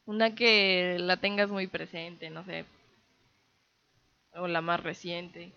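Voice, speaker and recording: A woman's voice: lowish voice, flat delivery, takes it slowly, gravelly, highs cut off. A woman hosting a casual radio interview, chatty and friendly in her questions. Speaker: radio host.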